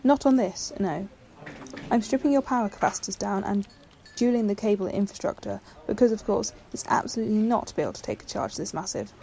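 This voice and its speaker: Woman acting young female space genius, brisk, logical, precise, slightly pert, sci-fi audio drama, medium pitch. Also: expressive, dark tone, lightly acted. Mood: brisk, focused.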